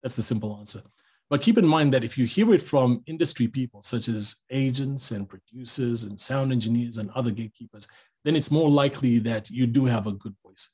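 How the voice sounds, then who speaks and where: Middle-aged male voice-over pro, mid-pitched, a little husky, relaxed and chatty, online class over a video call. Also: fairly quick. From Zambia. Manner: relaxed, chatty, jokes aside to the audience. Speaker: voice-over artist teaching a master class. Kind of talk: online master class talk.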